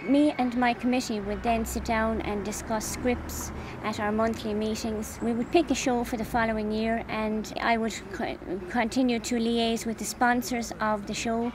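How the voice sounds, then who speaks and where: Irish woman, high-pitched and even. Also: normal speed, few pauses. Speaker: pantomime administrator. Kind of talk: interview account to camera. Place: outdoors in a suburban garden. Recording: clear outdoor traffic and ambient noise.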